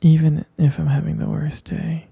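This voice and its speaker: A man voicing an adoring, tender romantic partner in an intimate ASMR roleplay, murmuring gently and haltingly in a breathy, husky whisper. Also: on the high side, little pitch movement, no room sound, dull audio, exaggerated voice. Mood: adoring, dreamy. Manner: slow, halting, gentle murmuring with lingering pauses.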